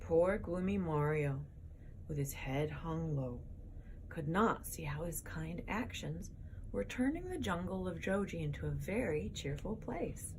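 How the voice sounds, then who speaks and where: A woman author reading a children's story aloud with dramatic, expressive narration, pausing to engage young listeners. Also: on the low side, slight background noise, low-quality recording.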